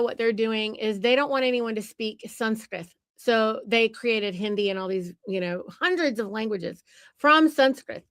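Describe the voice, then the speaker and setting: Woman, podcast host, emphatic, lecturing, high-ish voice, dry close sound. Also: a bit bright, no room sound. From United States. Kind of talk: casual podcast conversation.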